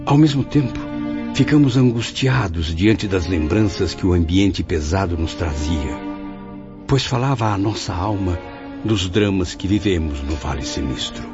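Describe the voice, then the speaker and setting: A very low, expressive voice for a solemn, earnest spirit played by a man in a radio drama. The phrasing is formal and literary. The sound is dull and lo-fi, with clear music behind it. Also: measured pace. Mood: solemn, brooding. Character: earnest male spirit recounting and questioning, solemn, troubled. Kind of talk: radio drama narration and dialogue. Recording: dull lo-fi audio over music.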